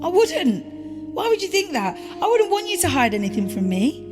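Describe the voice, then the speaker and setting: A woman acting as a devoted girlfriend who is deeply hurt, in an audio play. Her voice is high, with music playing underneath. She pleads emotionally and turns indignant with her partner. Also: sing-song, normal speed. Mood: tense, pleading. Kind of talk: dramatic audio play dialogue.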